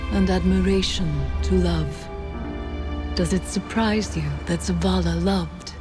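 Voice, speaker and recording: An actress playing a solemn, mysterious woman who confides a sorrowful past, grave and hushed, in scripted game narration. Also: lowish voice, steady tone, over music, close to an everyday voice. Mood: solemn, wistful. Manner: grave, hushed, deliberate storytelling.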